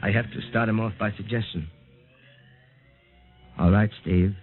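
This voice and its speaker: An actor playing a calm, clinical doctor who speaks in a measured, authoritative way, in a 1950s radio drama acting style, heard through a tinny old radio broadcast. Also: male voice, fairly low pitch, faint music behind, lightly acted. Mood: hypnotic. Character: calm, clinical middle-aged male doctor.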